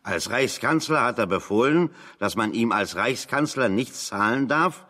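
A man's voice: medium pitch, unhurried. A man acting in a German radio play as a plain-spoken, dryly ironic exile in conversation. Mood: wry, mocking. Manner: deadpan, ironic.

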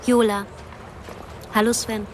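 An actress playing an ambitious, sardonic young actress in a radio drama, speaking in a wry, pointed way. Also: female voice, on the high side, flat delivery, slow pace, noisy background. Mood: cool, curt. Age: young adult. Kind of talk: radio drama dialogue and diary monologue. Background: music.

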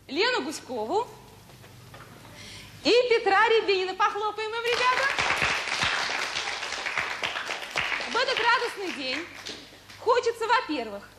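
An actress playing an officious, preachy teacher in a radio drama, addressing children in a declamatory, stiffly didactic way. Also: female voice, very high voice, noisy background. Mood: ceremonial, brisk.

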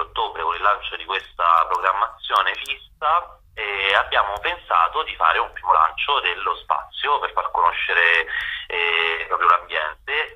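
A man's voice: sits low, sharp tone. A man giving wordy, explanatory, spontaneous answers as a guest curator in a radio phone interview, searching for words as he goes, over a telephone line. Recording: dull, band-limited telephone line.

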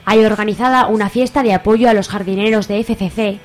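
A woman's voice: medium pitch, fairly even intonation, fairly quick, soft background music. A woman with a Spanish accent, reading scripted narration for a radio soap opera.

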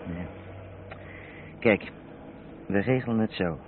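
Haulage boss, radio drama: lowish, decisive, practical, narrow old-radio sound. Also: male voice, slow pace. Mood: businesslike. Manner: firm, matter-of-fact. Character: middle-aged haulage boss, practical, decisive, good-natured. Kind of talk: Dutch radio play acting. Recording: muffled, hissy old radio recording.

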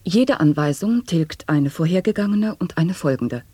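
A husky absurdist announcer. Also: mid-range voice, expressive, normal speed, dark tone, no echo. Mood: earnest, formal. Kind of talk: avant-garde radio play recitation of nonsense wordplay.

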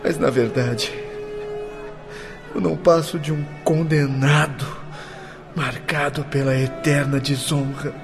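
A man's voice: a little high, fairly even intonation, vocal fry, over music. A man acting in a radio drama as a grieving, reproachful grown son, lamenting in anguish. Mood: bitter reproach. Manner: anguished, lamenting, drawn-out delivery.

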